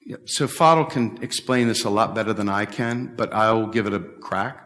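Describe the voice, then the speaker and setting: A middle-aged man giving an animated, emphatic talk at a policy event, repeating his points and gesturing as he answers questions. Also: fairly low pitch, moderate pace. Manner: emphatic, repetitive, talks with big hand gestures. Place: large bright hall with windows, standing before a stage table. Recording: echoey hall from far off.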